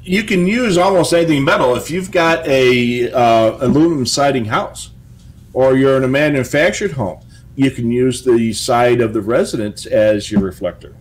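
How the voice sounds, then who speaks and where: A man's voice: medium pitch, normal speed. A middle-aged man with an American accent, co-hosting from a home office in a casual, chatty style with practical tips. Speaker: co-host of a police scanner show. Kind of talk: casual chat with tips and anecdotes.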